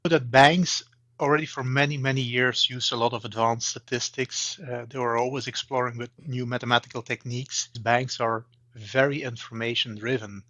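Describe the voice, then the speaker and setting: A man's voice: medium pitch, steady tone, normal speed, sharp tone, no room sound. Interview guest, man, forties, Belgian. Hesitant, many restarts, dull dry audio. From eastern Belgium. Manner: hesitant, repeats words, many fillers. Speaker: guest, banking AI expert. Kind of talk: interview answers.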